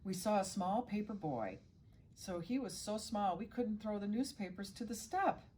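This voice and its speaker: A woman's voice: medium pitch, lively intonation, normal speed, a little mellow, a little hiss or hum. A middle-aged woman reading a children's storybook aloud as a guest reader, now and then stumbling and correcting herself.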